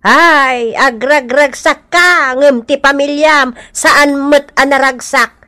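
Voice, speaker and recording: Scolding, insistent older village auntie for radio drama; high, sharp, lively voice. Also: female voice, exaggerated voice. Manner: scolding, insistent, drawn-out questioning. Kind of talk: Ilocano radio comedy drama acting.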